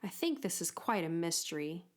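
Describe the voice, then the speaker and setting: A woman voicing an excitable, innocent and bewildered young bird with a chirpy manner, for a children's storybook. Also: fairly low pitch, normal speed. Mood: puzzled. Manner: chirpy, exclaiming.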